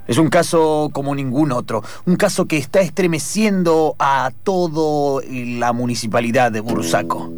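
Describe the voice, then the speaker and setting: A man's voice: mid-range voice, normal speed, few pauses, no room sound. A man delivering dramatic narration for improvised radio theatre. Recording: guitar music clearly behind.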